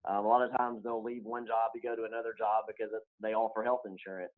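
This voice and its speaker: A man's voice: lowish voice, little pitch movement, fast, phone-quality sound. A man explaining conversationally as an expert interview guest. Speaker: financial advisor guest.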